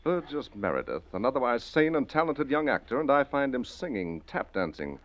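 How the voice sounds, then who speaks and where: A man's voice: medium pitch, big pitch swings, a little fast, lightly acted. A man playing a wry, bemused detective. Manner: wry, precise, faintly bemused. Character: dry, puzzled gentleman detective. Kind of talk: 1940s radio drama acting. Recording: dull old radio recording.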